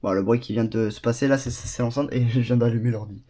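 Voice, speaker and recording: A man with a cold gives an excited tour of his small home studio. He chats casually and keeps drifting into asides and fillers. His voice sits at an ordinary middle pitch. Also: fast talker. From Bordeaux, France. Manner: chatty, enthusiastic, many fillers and asides. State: has a cold. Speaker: YouTuber showing his studio.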